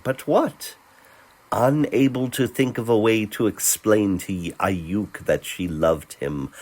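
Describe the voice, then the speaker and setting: A man reading a scripted story as narrator, theatrical and deliberate, with dramatic pauses between phrases. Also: low-pitched, husky. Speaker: narrator, voice performer.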